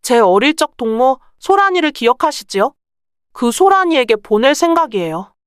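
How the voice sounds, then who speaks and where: A woman's voice: sits high, big pitch swings, normal speed, clear, resonant tone, no room sound, clean studio sound. A woman playing a clever, bold young merchant's daughter in a folktale, speaking politely and persuasively. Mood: persuasive, pleased. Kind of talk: acted Korean folktale dialogue.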